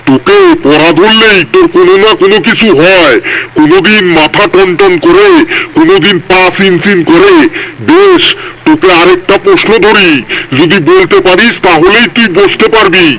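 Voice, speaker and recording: A man's voice: sits high, animated, normal speed, fairly bright tone, slight background noise, low-quality recording. A man playing an exasperated, sarcastic cartoon history teacher who scolds his pupils. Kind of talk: comedy cartoon dialogue.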